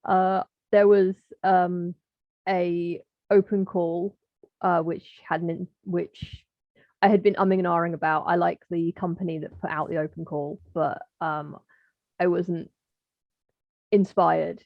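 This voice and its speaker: A woman's voice: lowish voice, level tone, slow, no room sound. Woman on dull, lo-fi audio. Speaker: writing course graduate, guest. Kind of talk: casual anecdote-telling. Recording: dull, dry lo-fi call audio.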